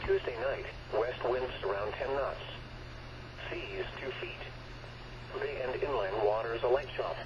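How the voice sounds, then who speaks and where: A man's automated-sounding voice reading a scripted marine weather bulletin over the radio in a flat, clipped way. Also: medium pitch, a little slow, pauses often, background noise. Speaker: weather radio forecast announcer. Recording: dull small radio speaker, chatter over it.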